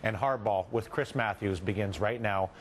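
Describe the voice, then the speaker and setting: Brisk male TV news anchor. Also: lowish voice, slight background noise. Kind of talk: TV news presenting.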